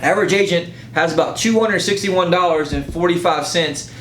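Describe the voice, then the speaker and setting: A man working as a real estate agent makes an informal, emphatic and persuasive sales pitch, often checking in with a rhetorical "right?". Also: normal speed, fairly bright tone. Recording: roomy echo, faint low rumble.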